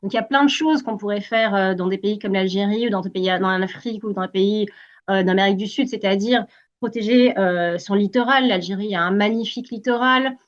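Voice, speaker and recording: Guest, woman, 40s, from Algeria: earnest, halting interview answers, mid pitch, a bit lo-fi. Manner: earnest, many hesitations and restarts. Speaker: Greenpeace campaigner, guest.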